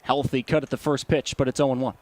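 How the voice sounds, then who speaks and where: A man's voice: medium pitch, flat delivery, fast, a little mellow, no echo. A man with an American accent calling baseball play-by-play as a live broadcast commentator.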